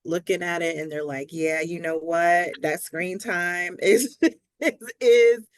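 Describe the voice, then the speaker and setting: A woman with a fairly low voice in a dry, close-sounding recording. She coaches conversationally, with an encouraging, emphatic delivery that circles back on key phrases to drive them home. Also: fairly even intonation, slow pace, dry recording. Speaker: Spanish language coach, interview guest. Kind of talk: conversational coaching pep talk.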